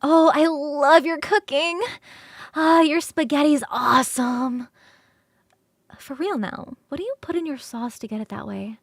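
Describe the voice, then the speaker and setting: High-voiced, flirty succubus roommate, acted by a woman. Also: measured pace, no room sound, clean studio sound. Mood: enthusiastic, distracted. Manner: bubbly, rambling, easily sidetracked, teasing. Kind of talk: ASMR roleplay acting.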